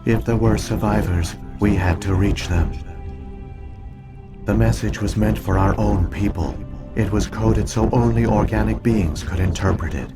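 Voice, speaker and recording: Deep, solemn ancient machine intelligence. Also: male voice. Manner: measured, formal, deliberate. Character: ancient alien virtual intelligence, male, solemn and coldly logical. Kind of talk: scripted video game voice acting, exposition. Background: game music.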